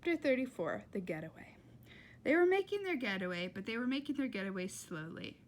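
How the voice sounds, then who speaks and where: A woman reading a children's novel aloud in a dramatic, expressive storytelling style. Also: a little high, a little fast, slight background noise. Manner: dramatic, with emphatic character voices.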